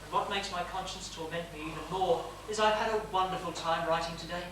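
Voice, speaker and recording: A man's voice: sits high, fairly even intonation, fast talker, natural voice. Guy as reflective author doing a stage monologue, confiding but projected, very echoey noisy recording. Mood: wistful, genial. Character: reflective, confiding Russian writer narrating his stories. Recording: echoey theatre stage, distant mic, noisy.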